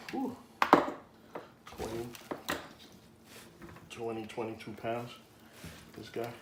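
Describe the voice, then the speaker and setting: A man's voice: fairly low pitch, reverberant, background noise. A man hosting a home cooking demonstration, talking in a loose, chatty, jokey way full of fillers. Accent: slips into a Jamaican accent. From Jamaica. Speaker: cooking show host.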